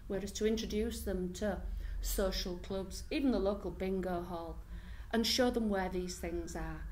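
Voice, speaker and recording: A woman's voice: medium pitch, moderate pace, darkish tone, a little hiss or hum. A middle-aged woman who works as a hostel support worker, speaking earnestly in an interview with a few restarts. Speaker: independent living skills worker at a hostel. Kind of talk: interview talk.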